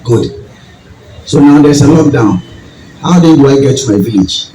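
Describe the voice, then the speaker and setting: A man with a deep voice and a Ghanaian accent gives a public talk, deliberately, in short phrases and questions to the audience. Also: animated, mellow. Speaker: guest speaker, farming group leader. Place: event venue with audience. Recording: distant, echoey hall with audience noise.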